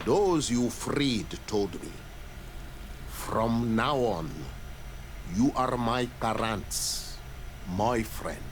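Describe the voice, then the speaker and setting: Slow, solemn clan chief. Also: male voice, mid-range voice, animated, slight background noise. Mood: solemn, welcoming. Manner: solemn, deliberate, formal. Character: grave, dignified native clan chief, stern but fair. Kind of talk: video game voice acting.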